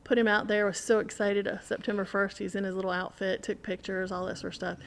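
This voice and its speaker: A woman's voice: mid-range voice, little pitch movement, fast, vocal fry. A woman telling a story in casual conversation as a podcast guest, animatedly acting out what people said.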